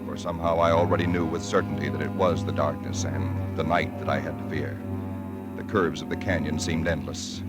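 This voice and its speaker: An actor playing a frightened man waiting alone in an empty house, telling his story in a hushed, haunted voice. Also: rumbling low, level tone, brisk. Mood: dread. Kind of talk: 1940s radio drama narration. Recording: 1940s radio broadcast with loud music. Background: music score.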